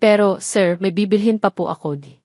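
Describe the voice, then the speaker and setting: A woman's voice: mid-range voice, normal speed, a little mellow, no echo, studio quality, close to an everyday voice. A woman voicing a poor, polite, guarded young village woman, deferential and hesitant. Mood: shy, guarded.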